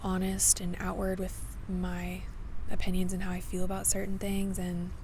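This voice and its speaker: Woman vlogging outside, kinda low creaky voice, candid rambly thoughts, background noise. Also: completely flat delivery, normal speed, mellow. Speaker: vlogger and podcaster. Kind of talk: casual reflective monologue. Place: outdoors in a cemetery. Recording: noisy outdoor ambience, traffic hum.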